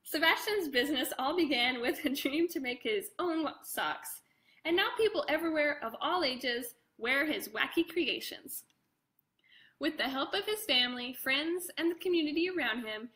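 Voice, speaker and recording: A woman reading her own picture book aloud to children in an expressive way, with casual chatty asides. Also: high-pitched, moderate pace. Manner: expressive, addresses young listeners directly; casual, informal commentary between passages.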